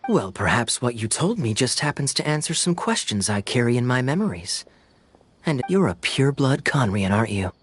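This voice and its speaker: An actor playing a suave young swordsman in a video game, smooth-talking, playful and sardonic, with secrets to keep. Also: mid-range voice, sing-song, normal speed, fairly bright tone, a little hiss or hum. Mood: wry.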